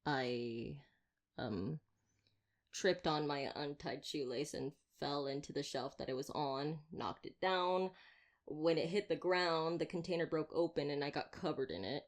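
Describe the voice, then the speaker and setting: Woman acting a low-voiced, teasing female vampire slayer. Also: unhurried. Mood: chatty storytelling. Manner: chatty, teasing, theatrical. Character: wry, playfully menacing young female vampire slayer. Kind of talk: ASMR roleplay monologue addressed to the listener.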